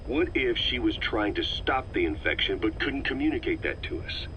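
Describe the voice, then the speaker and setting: An actor playing a shrewd, level-headed veteran intelligence officer in a video game, talking in a measured, analytical way. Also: male voice, lowish voice, big pitch swings, sharp tone. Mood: firm, reasoning. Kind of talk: dramatic video game voice acting. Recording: muffled, slightly noisy cassette tape.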